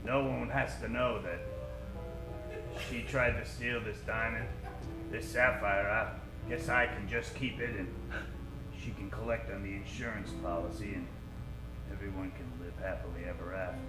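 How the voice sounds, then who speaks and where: A man's voice: lowish voice, level tone, moderate pace, close to an everyday voice. Man playing a blustering hardboiled radio detective, roomy sound. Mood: wry, tough. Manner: tough-guy slang, blustering. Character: hardboiled, wisecracking male private detective, easily flustered. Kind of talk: parody of old-time radio detective drama. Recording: roomy live stage recording with music.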